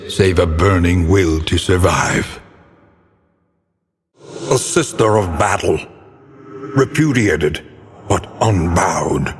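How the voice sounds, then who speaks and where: A man's voice: sits low, expressive, takes it slowly, long pauses. An actor playing a grave, world-weary older male narrator of a gothic horror game, solemn, ominous and florid in his theatrical delivery. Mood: grim, ominous. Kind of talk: theatrical scripted dark fantasy narration. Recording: long cavernous reverb.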